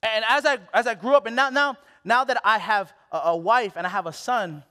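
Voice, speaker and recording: Young man from Hawaii, very high voice, animated preacher, restarts a lot, headset mic, big hall reverb. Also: brisk. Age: late 20s. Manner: animated, exclamatory, with many restarts. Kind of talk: sermon. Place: church stage.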